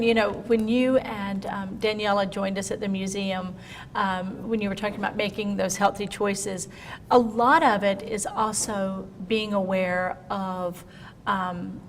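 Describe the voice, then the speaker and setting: A woman's voice: mid-range voice, steady tone, unhurried. A woman host leads the interview, rambling and restarting her questions. She sounds distant from the mic. Her voice echoes in a large hall over faint noise. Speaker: show host.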